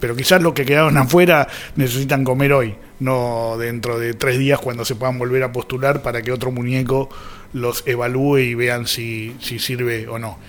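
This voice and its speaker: A man's voice: medium pitch, fairly even intonation, normal speed, slight background noise. A man with an Argentine accent hosting an informal radio show, thinking aloud conversationally. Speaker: radio host. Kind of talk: casual radio talk with listener messages.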